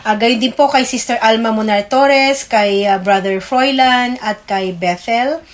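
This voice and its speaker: A Filipino woman co-hosting a religious radio program, giving prayers and greetings politely and pausing as she recalls names. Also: a little high.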